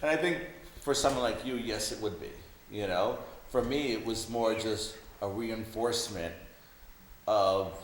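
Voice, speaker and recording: A roomy recording with some hiss carries a man's fairly low voice. He talks casually and conversationally, like a guest sharing his view, often checking that the listener follows. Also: measured pace. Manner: conversational, peppers speech with "you know". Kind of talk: casual chat. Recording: roomy echo with clear background hiss.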